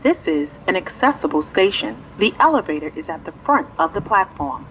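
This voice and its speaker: A woman's voice: medium pitch, very animated, normal speed, slight background noise, rough recording. A woman reading scripted station announcements for passengers.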